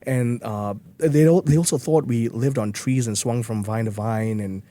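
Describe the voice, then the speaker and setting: A man's voice: mid-range voice, moderate pace, mellow. A man from Malaysia, speaking earnestly as a guest in a podcast interview, with frequent restarts. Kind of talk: conversational interview.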